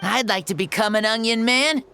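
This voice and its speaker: A woman voicing an innocent, wide-eyed girl who talks slowly and earnestly in a video game. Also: fairly high pitch, sharp tone, soft background music. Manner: slow and earnest.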